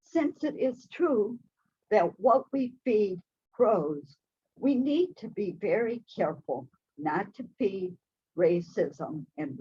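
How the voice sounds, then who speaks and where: Slow, soft-spoken woman giving a church talk. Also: low voice, breathy edge, dull audio. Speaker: church speaker. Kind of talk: spiritual talk to a congregation. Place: church hall, also streamed to video call.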